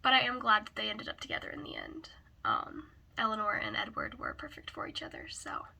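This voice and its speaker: A woman who is hoarse and raspy from a cold gives a casual book review, often hesitantly searching for words. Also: mid-range voice, huge pitch swings, brisk, bright, forceful tone, whispered.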